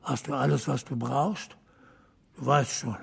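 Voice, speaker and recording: A man playing a blunt, commanding leader of a small-town men's club, dry and matter-of-fact, with a husky, gravelly voice in an audio drama. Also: medium pitch, steady tone, a little slow, full character voice. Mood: brisk, directing. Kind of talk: naturalistic German audio drama acting.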